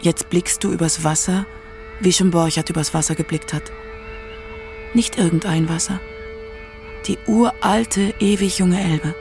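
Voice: medium pitch, steady tone, measured pace, gravelly, long pauses. A narrator reading scripted documentary narration for a radio feature. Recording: music behind the voice. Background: instrumental music.

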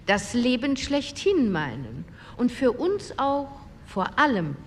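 A principled female delegate speaking slowly and with a fairly high, lively voice. Her measured declaration sits in a long hall reverb with faint rumble behind. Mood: solemn. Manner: slow, measured formal statement. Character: female postwar CDU delegate, solemn and principled. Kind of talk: radio drama speech reenactment.

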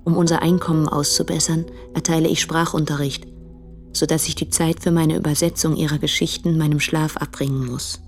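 An actress playing a proud, candid, impoverished young translator in a German radio play, speaking deliberately in a breathy voice. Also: female voice, sits low, little pitch movement, faint music behind. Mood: weary, probing. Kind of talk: German radio play, reading letters aloud.

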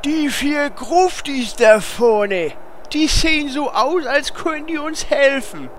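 A man's voice: extremely high pitch, expressive, slow pace, a little hiss or hum, exaggerated voice. A man voicing a timid, naive little hero in a parody audio drama, hesitant yet eager.

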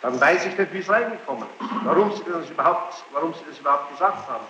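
A man questioning a witness as a judge in formal, halting German phrasing, insistent and reproachful. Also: on the high side, animated. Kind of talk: courtroom interrogation, spontaneous formal speech. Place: large echoing courtroom. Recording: dull, noisy archive courtroom tape, echoey hall.

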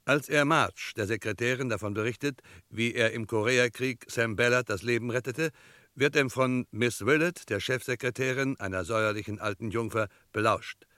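A man's voice: medium pitch, bright, forceful tone, dry recording, studio quality. A man narrating a formal, scripted recap in the manner of 1960s German radio drama. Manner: formal, precise. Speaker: radio drama director and announcer.

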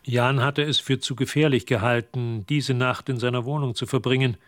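A man narrating a scripted radio drama as a measured, observant storyteller. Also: medium pitch, fairly even intonation, no room sound, clean studio sound, close to an everyday voice. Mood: matter-of-fact.

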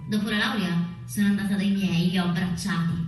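An actress playing a wistful, dreamy young woman in a radio play, telling her memories in a monologue with deliberate repetitions. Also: mid-range voice, fairly even intonation, natural voice. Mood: reflective. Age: young adult. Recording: echoey, distant recording with music behind. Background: music.

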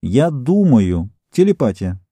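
A man voicing a thin, quick, irritable Martian housewife with a sharp metallic voice, curt and impatient. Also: medium pitch, very animated, husky, no room sound, full character voice.

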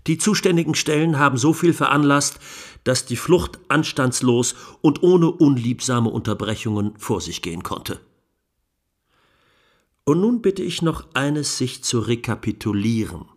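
A man's voice: medium pitch, animated. A man playing a grave, indignant 1920s German defence lawyer, pleading with solemn, emphatic rhetoric in a radio documentary. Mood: indignant, insistent. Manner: solemn, rhetorical, emphatic oratory with deliberate pauses. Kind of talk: dramatized courtroom closing speech, radio documentary.

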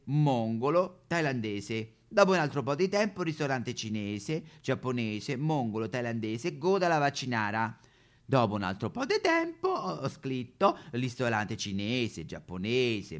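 A man's voice: medium pitch, a bit bright. A man playing a cheerful, boastful Chinese immigrant restaurateur with a Roman accent in a satirical stage monologue.

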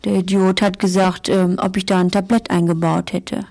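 An older woman recalling personal memories in a plain-spoken way, with hesitant pauses. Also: medium pitch, little pitch movement, vocal fry, a little mellow. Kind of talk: personal recollection.

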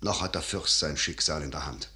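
Male role: grave older general and father, low, forceful voice, declamatory classical radio-play delivery, calculating and solemn. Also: a little fast, full character voice. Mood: grave, resolute. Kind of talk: 1950s German radio play, classical verse acting.

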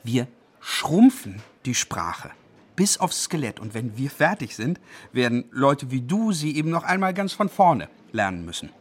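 An actor in a radio play as a zealous, pedantic dictionary compiler who speaks with gloating enthusiasm. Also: male voice, mid-range voice, big pitch swings, measured pace, slightly rough. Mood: pedantic passion. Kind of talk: radio play dialogue acting. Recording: faint background noise.

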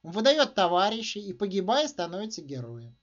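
A man reading literary fiction as its author, in expressive, dramatic narration with emphatic phrasing. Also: sits high, a little fast. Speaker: author reading own short story. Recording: dull, muffled lo-fi sound.

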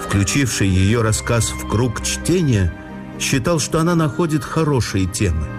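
A man's voice: mid-range voice, expressive, a little slow. A man narrating a scripted introduction in the style of a literary documentary. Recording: music under the voice. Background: prominent music.

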